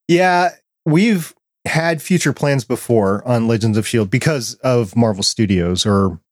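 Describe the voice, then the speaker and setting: A man's voice: mid-range voice, takes it slowly, no room sound, studio quality. A middle-aged man speaking as a podcast co-host in a casual fan discussion.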